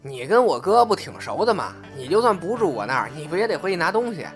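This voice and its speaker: Casting: man playing a young male apprentice comic, grumbly but shy, highly expressive pitch, audio drama with music bed. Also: fast talker. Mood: persuading. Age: young adult. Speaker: crosstalk apprentice. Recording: background music under the voice.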